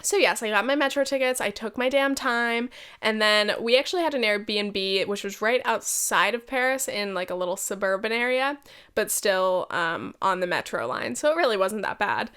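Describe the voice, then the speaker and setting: A woman's voice: medium pitch, expressive, moderate pace, a bit bright, clean tone. A young woman in her twenties, nasal and congested from a head cold, hosting a casual solo podcast with chatty, swearing, self-deprecating asides. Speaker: podcast host.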